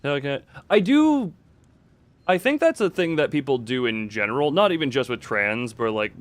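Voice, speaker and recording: A man livestreaming a game, chatting casually in a halting, rambling way as he thinks aloud. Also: medium pitch, very animated, dry recording. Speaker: livestream host. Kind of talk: casual chat while playing a horror game live. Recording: clear background noise.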